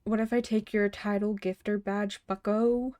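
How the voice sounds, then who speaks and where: A woman's voice: mid-range voice, level tone, moderate pace, a little mellow, no echo. A woman streamer in casual chat with her viewers, stuttering often and restarting words, laughing now and then. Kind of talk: casual livestream chat, reading chat messages and a story aloud.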